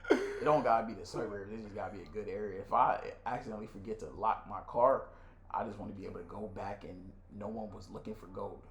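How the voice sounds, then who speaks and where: Young man, American accent, casual co-host chat, slangy and animated, echoey room sound. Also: fairly low pitch, fast. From Nigeria, left at six for the United States. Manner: animated, slangy, often addressing his friend as bro. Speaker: podcast co-host. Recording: echoey room with faint noise.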